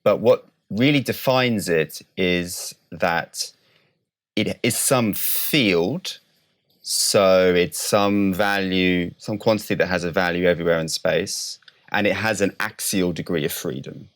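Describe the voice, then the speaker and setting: A male scientist with a deep voice answers as an interview guest. His delivery is explanatory and measured, building precise definitions one step at a time. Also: a bit bright.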